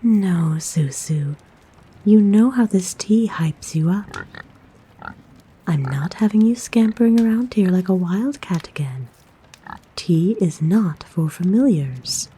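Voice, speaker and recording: A woman playing a kind, motherly forest witch in a soft, breathy, gentle whisper for an ASMR roleplay. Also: lowish voice, lively intonation, slight background noise. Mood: playful scolding. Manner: gentle, soothing, coaxing, unhurried. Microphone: close microphone.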